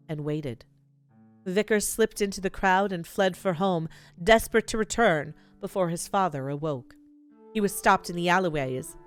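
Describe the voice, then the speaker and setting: A woman's voice: on the low side, clear, resonant tone. A woman streamer reading a game's story aloud in a theatrical, dramatic storyteller manner. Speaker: streamer, host of a game let's play. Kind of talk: expressive storybook read-aloud of game text with excited asides.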